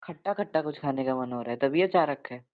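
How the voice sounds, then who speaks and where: A man's voice: medium pitch, fast talker, lightly acted. A man playing a caring, insistent young husband who banters affectionately in a casual domestic audio roleplay, with an informal, coaxing way of talking. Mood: bantering. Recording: tinny narrow phone-like band.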